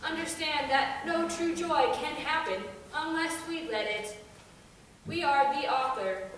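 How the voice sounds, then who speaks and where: A woman on stage playing a serene, mystical oracle, declaiming a monologue in a deliberate, incantatory way. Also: sits high, a bit bright, a little hiss or hum. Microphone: far camera. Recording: echoey theater, recorded from far off.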